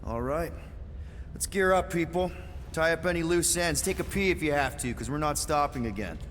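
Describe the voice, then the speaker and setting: A brash young leader of a space crew in a video game, wry yet earnest. His voice sits fairly high and swings freely in pitch, over faint background noise. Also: lively intonation, normal speed, a bit bright. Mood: commanding. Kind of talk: video game cutscene acting.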